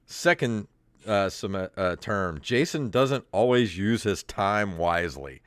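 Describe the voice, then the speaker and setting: A middle-aged American man with a fairly low voice chats as a podcast host. He sounds amused and self-deprecating, and the sound is dry and close. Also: lively intonation, slow, fairly bright tone, no room sound. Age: 50s. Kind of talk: casual joking chat, reading aloud from old papers.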